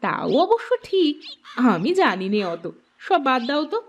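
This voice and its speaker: A woman's voice: high-pitched, sing-song, normal speed, lo-fi sound, slight background noise. A woman voicing a friendly, chatty village neighbour in a cartoon, with an easygoing manner. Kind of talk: cartoon voice acting.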